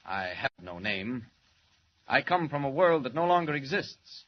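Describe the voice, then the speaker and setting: An actor playing a calm, commanding superhero from another world in a 1940s radio drama, speaking in formal, measured declarations. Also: male voice, mid-range voice. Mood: calm, earnest. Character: calm, commanding flying alien superhero, grown man. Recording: muffled, noisy old radio recording.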